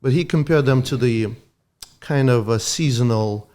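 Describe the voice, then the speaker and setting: Man, from Ukraine, Russian native speaker, doctor guest, earnest, explanatory, slightly halting English. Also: mid-range voice, darkish tone. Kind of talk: interview conversation.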